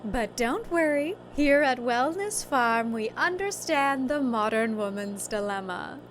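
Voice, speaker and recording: A woman voicing a chirpy, saccharine female cult leader delivering a promotional pitch in a slow, exaggerated manner. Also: lively intonation, a little hiss or hum. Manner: slow, exaggerated, sugary salesmanship.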